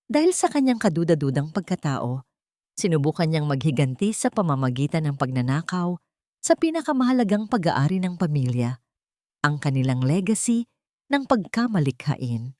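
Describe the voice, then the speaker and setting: A woman's voice: low voice, big pitch swings, normal speed, a little mellow, no room sound. Lady doing an aggressive male lawyer in a story drama, formal n accusing, very dry sound. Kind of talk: courtroom drama in narrated story.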